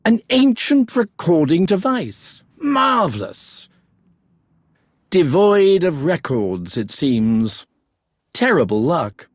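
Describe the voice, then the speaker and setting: Game archaeologist: bookish, precise, husky and unhurried, full of wonder. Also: male voice, fairly high pitch, big pitch swings, no room sound, exaggerated voice. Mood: fascinated. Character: fussy, scholarly male archaeologist full of wonder. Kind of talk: video game voice acting.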